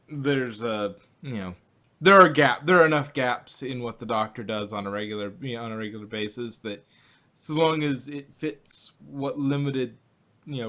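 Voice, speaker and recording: A man's voice: mid-range voice, fairly bright tone. A man co-hosting a fan podcast review, talking casually and rambling with lots of fillers and restarts. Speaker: podcast co-host.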